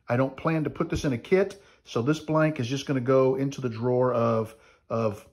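A man in his fifties giving a casual update from his home woodshop, talking in a relaxed, chatty way with frequent "uh" fillers, filmed with a camera held at arm's length. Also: medium pitch. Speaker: woodworking channel host. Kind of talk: casual shop update vlog.

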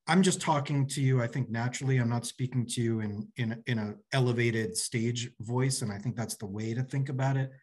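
A man in his forties speaking as a panelist on a video call, explaining in halting, emphatic phrases. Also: medium pitch, a little mellow, breathy edge. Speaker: panelist, radio play director and producer. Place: small office, indoors. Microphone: computer mic on a video call.

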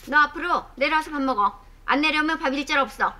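A woman's voice: sits high, fast talker, background noise, lightly acted. An actress in her fifties playing an exasperated, combative mother who nags and scolds in a sitcom. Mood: scolding. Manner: nagging, combative. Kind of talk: sitcom acting.

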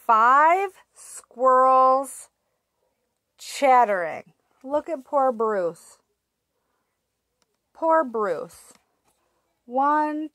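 A woman in her thirties reads a picture book to toddlers, drawing each word out very slowly and pausing to ask the children questions. Her voice sits a little high, and it is picked up close and dry by a webcam in a small room. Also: fairly bright tone, no room sound. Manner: slow, sing-song, asks little listeners questions. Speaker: teacher reading aloud. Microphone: webcam at arm's length.

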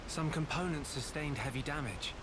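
A man's voice: fairly high pitch, moderate pace, noisy background, natural voice. A young British man playing an earnest, level-headed young swordsman hero in a video game. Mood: calm, earnest. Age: young adult. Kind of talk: video game cutscene acting.

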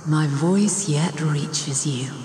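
A woman playing a serene, ancient goddess of light in a video game, solemn, deliberate and ethereal. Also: sits low, mellow, reverberant, slight background noise. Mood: serene, welcoming. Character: serene, ancient luminous female goddess of the crystal.

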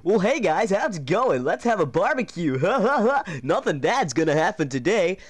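A man's voice: sits high, very animated, a little slow, slightly rough, no room sound, voice pushed up, heavily acted. A man voicing a friendly, carefree, simple-minded hero in an amateur cartoon parody, goofy and chirpy.

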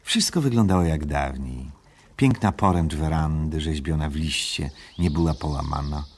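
An older actor narrating a children's storybook drama, calm and measured, with a husky voice. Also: male voice, extremely low pitch, exaggerated voice.

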